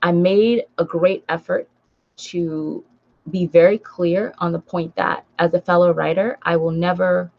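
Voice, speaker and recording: A woman's voice: on the low side, fairly even intonation, measured pace, gravelly, muffled recording. A woman with an American accent chatting casually on a livestream, speaking emphatically and repeating phrases for stress. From Nigeria until age seven, then raised in the United States. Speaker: book vlogger and writer hosting a livestream. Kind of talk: casual livestream chat, responding to comments.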